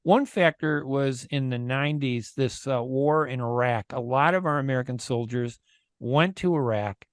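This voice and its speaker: A man's voice: mid-range voice, normal speed, smooth, dry recording, clean studio sound. A man hosting a radio talk show, chatting casually and thinking aloud with plenty of fillers and opinions.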